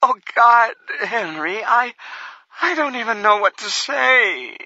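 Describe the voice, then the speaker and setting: Male narrator plays a frightened woman near tears; high, thin whine, lively pitch, soft and breathy. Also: slow, dry recording, exaggerated voice. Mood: tearful. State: on the verge of tears. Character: frightened tearful woman pleading with a lost partner. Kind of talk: audiobook character voice. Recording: dull lo-fi sound.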